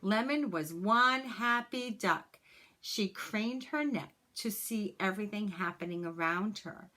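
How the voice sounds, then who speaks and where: Female storybook reader, mid-pitched, unhurried and expressive, read-aloud for young children.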